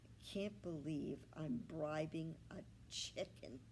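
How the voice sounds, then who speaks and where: A woman's voice: low-pitched, slow, a little mellow, a little hiss or hum. A woman voicing a grumpy, dignified small male pig in a children's storybook, grumbling and indignant. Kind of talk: children's storybook read-aloud.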